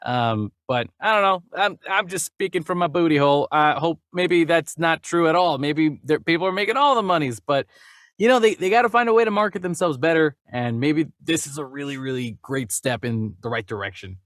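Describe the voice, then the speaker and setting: A man hosting a casual movie news chat in an animated, jokey and irreverent way. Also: on the high side, a little fast, no echo, studio quality. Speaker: podcast host.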